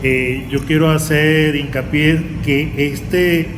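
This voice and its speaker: A Venezuelan man, a university lecturer, giving his opinion in a panel interview in a halting, repetitive way. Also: fairly high pitch, steady tone. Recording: very echoey hall with loud noise.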